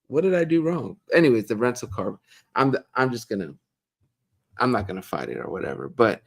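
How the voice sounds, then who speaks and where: A middle-aged man co-hosting a casual live Q&A, chatting easily and reading viewer comments aloud with a joking, relaxed manner. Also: medium pitch, a little fast, creaky. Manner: chatty, jokey, reads viewer questions aloud.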